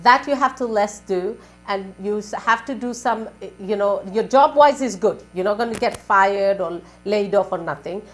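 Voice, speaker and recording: A woman's voice: mid-range voice, normal speed, hardly any pauses, soft background music. A woman working as a spiritual advisor on a live call-in show, giving advice in a repetitive, questioning way.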